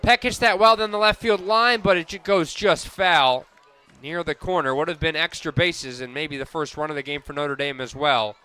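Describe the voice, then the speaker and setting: A man calling live softball play-by-play on the radio as the announcer. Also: on the high side, normal speed, hardly any pauses, clean tone.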